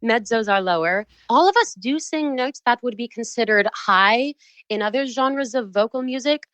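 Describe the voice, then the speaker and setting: A woman, a professional opera singer, explaining her craft in a relaxed podcast interview, precise and articulate. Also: on the high side, moderate pace, no room sound. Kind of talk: casual interview talk.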